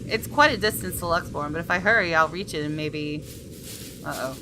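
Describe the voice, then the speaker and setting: Female gamer, slightly low voice, expressive story narration, casual asides, noisy recording with ambience. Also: fairly quick. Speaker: gaming video host. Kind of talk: expressive read-aloud of a visual novel with asides.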